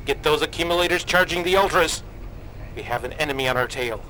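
Guy doing starship commander in combat, sharp highish voice, clipped urgent orders, noisy ship behind, radio play. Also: fast. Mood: urgent, commanding. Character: urgent, forceful male starship battle commander barking orders. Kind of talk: science fiction radio drama acting.

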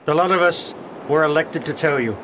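Male actor as formal pirate mutineer, stern, declamatory, high male voice, radio play, noisy ambience. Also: a little fast, lightly acted. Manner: declamatory, formal archaic seafaring talk. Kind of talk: radio drama acting.

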